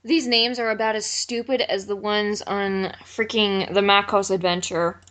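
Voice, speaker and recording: A woman's voice: mid-range voice, fairly even intonation, unhurried, a bit bright, dry recording. A woman chatting casually as a co-commentator in an amateur reading, sounding exasperated and sarcastic. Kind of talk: casual chat.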